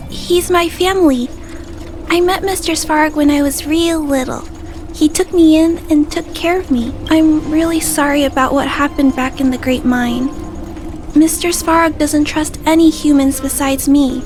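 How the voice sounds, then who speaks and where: A woman voicing a gentle, timid little girl in a video game, polite and earnest, with hesitant, stammering speech. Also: high voice. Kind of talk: video game cutscene voice acting. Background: game music.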